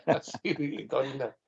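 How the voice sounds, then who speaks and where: Jokey radio host, muffled line. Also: male voice, mid-range voice, takes it slowly, gravelly, darkish tone, breathy edge. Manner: jokey, teasing, laughs often. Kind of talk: casual phone-in chat.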